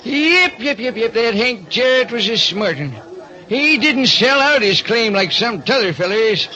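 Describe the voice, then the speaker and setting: A man's voice: extremely high pitch, animated, unhurried, pitched above the performer's own voice. A man voicing a crafty old American prospector with a folksy, cackling drawl in a radio detective drama. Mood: sly, reminiscing. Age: older. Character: crafty old Western prospector, shrewd and thirsty. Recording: dull recording with clear noise.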